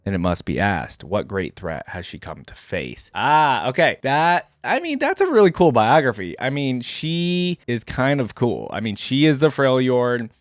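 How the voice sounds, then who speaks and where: Guy doing casual reaction commentary, medium pitch, chatty and thinking out loud, really dry sound no room. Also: big pitch swings, unhurried, hardly any pauses, no room sound. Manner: conversational, thinking out loud with hedges. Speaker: gaming reaction video host. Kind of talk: casual reaction commentary, reading aloud and remarking.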